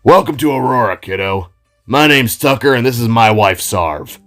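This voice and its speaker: Friendly cartoonish shopkeeper played by a man, gravelly, easygoing audio-drama character over music. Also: medium pitch, animated, normal speed, fairly bright tone. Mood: welcoming. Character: cheerful friendly bullhorn man, husband running a bakery. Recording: music behind the voice.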